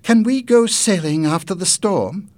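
A man voicing a curious, eager teenage granddaughter in a storybook, with a questioning, excitable manner. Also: measured pace, dry recording. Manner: high-pitched, questioning, excitable. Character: curious, eager sixteen-year-old granddaughter.

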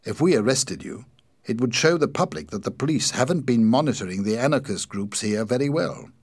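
A man voicing a firm, probing chief inspector in an audiobook, speaking in a measured, formal, insistent way. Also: medium pitch, animated, husky, no echo, studio quality, pitched above the performer's own voice. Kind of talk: audiobook character dialogue.

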